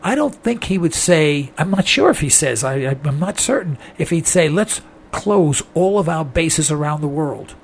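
Hoarse, gravelly male talk radio host. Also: fairly high pitch, lively intonation, moderate pace, hardly any pauses, slight background noise. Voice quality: strained, gravelly voice. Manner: rambling, folksy, addresses listeners as folks. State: hoarse from a throat infection. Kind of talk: call-in radio monologue.

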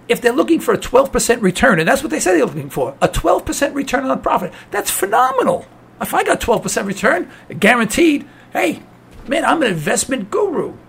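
A man's voice: very high voice, vocal fry, breathy edge. A man with a New York accent hosting a talk program, delivering a cynical political rant. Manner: cynical, ranting. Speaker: radio talk host.

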